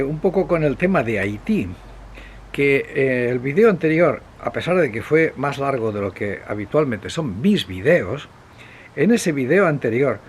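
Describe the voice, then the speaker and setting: A man from Spain presenting a history video in an unscripted, conversational way, rambling and hesitant, with pauses, digressions and self-corrections. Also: medium pitch. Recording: clear steady background noise.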